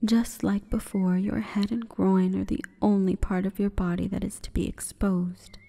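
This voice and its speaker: A woman voicing a seductive, solemn female plant spirit in a breathy, formal, commanding whisper for an ASMR roleplay. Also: mid-range voice, flat delivery, moderate pace, warm tone. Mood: solemn, hushed. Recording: long reverberant echo.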